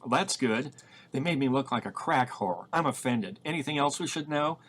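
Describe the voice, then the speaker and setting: Audiobook role: male reader as guileless, wisecracking man on the run, middle register, quick to protest. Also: medium pitch, expressive, fairly bright tone. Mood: wry.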